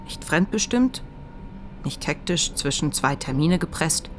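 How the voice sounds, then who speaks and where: An actress playing the inner voice of a depressed writer, weary and unflinching, in a measured literary monologue for a radio play. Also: female voice, sits low, expressive, noisy background. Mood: weary resolve.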